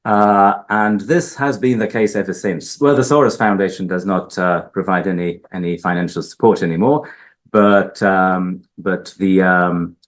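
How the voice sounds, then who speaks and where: A man from Hungary, a linguist answering interview questions, talking hesitantly with many fillers and restarts. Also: deep voice. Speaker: linguist guest. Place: research institute building.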